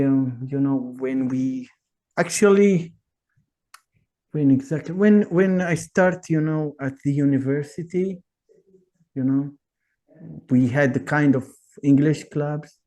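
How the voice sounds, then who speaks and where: Slow, mellow-voiced Tunisian man. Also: mid-range voice. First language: Tunisian Arabic. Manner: hesitant, searching for words, many fillers. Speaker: guest.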